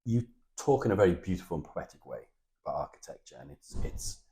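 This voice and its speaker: A man's voice: sits low, lively intonation, fast talker, mellow. A man interviewing an architect, asking open questions in an admiring, encouraging way. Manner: admiring, encouraging, with many short affirmations.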